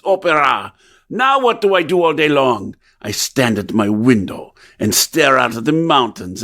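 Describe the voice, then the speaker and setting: An actor playing a haunted, desperate composer in an old-time radio drama, with theatrical, brooding delivery. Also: male voice, fairly high pitch, fairly bright tone, exaggerated voice. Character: tormented, obsessive male composer, haunted and desperate.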